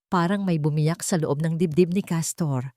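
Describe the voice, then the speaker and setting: A woman narrating a dramatic scripted love story in a steady storytelling manner. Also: low-pitched, normal speed, dry recording, clean studio sound.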